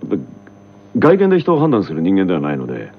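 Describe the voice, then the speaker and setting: Joking male guest with lively pitch. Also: racing, slight background noise. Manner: relaxed, joking, laughs often. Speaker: radio guest. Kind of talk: casual chat. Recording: dull lo-fi radio recording with music behind.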